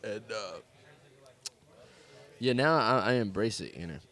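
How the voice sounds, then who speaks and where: A man's voice: fairly low pitch, a little slow, a bit bright. A young man with a Southern US accent, talking casually and ramblingly in an interview about his music, swearing now and then. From American South. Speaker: musician guest.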